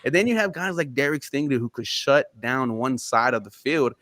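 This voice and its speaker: A man on a casual sports podcast, breaking down his team's roster with excited, rapid-fire analysis. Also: mid-range voice, no echo, studio quality. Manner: excited, fast-flowing football analysis. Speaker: podcast guest.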